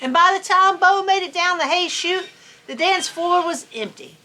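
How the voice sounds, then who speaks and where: A woman's voice: very high voice, moderate pace, bright, forceful tone. A woman reading a storybook aloud in a folksy, playful way, with chatty asides.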